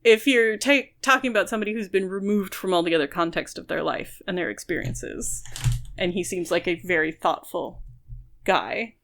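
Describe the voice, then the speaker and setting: A woman's voice: lowish voice, moderate pace, creaky, a bit bright. A woman co-hosting a podcast, casually discussing a book and explaining her reading at length in an analytical way. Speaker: podcast co-host.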